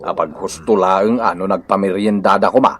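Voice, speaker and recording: A man's voice: low-pitched, a crowd in the background, close to an everyday voice. An actor playing a hungry, jokey village man who grumbles and exclaims in a comedic radio drama. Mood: hungry, complaining. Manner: exclaiming, drawn-out complaints.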